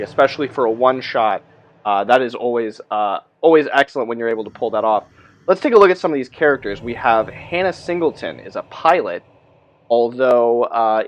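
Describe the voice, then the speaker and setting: A man presenting a tabletop roleplaying game, reading scripted text aloud with measured, storyteller-like delivery and adding his own commentary. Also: medium pitch, a little hiss or hum. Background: music behind the voice.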